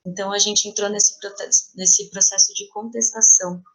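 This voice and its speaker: A Brazilian woman speaking reflectively as an interview guest, pausing and repeating phrases as she thinks. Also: medium pitch, steady tone, a bit bright, rough recording. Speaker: playwright and theatre artist, podcast guest.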